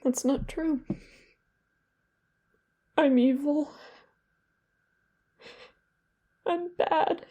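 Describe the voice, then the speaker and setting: A woman's voice: sits high, very slow, dark tone, highs cut off. A young woman playing a guilt-ridden, bitter therapy patient in a confessional, self-loathing audio drama monologue. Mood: distraught. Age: young adult.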